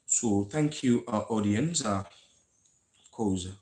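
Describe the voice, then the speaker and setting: A man from the Gambia with a Gambian English accent, hosting a live history talk in an earnest lecturing way, with frequent pauses and restarts. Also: fairly low pitch, expressive, darkish tone. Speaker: host of a history channel. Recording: echoey room sound.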